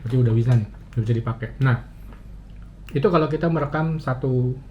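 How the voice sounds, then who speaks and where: A muffled, slightly dull recording with noticeable background noise carries a man's voice at a medium pitch. He walks through a demonstration casually, step by step, pausing often and thinking aloud as he goes. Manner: halting, thinking aloud with frequent pauses. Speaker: motovlogger giving a gear tutorial. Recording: muffled, dull audio with clear ambient noise.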